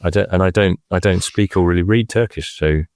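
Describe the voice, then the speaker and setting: A man with a low voice gives casual, expert explanations on a podcast. He is hesitant and often rephrases as he goes. The recording is close and dry. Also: brisk, a little mellow, no echo. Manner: hesitant, circling, self-correcting explanations. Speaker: Egyptologist, podcast co-presenter.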